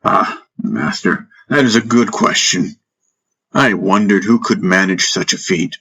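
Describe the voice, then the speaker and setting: Man playing a wry, unhurried army leader. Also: deep voice, sharp tone, breathy edge, exaggerated voice, poor audio. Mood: playing along. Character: boy's father, wry, good-humoured male army leader.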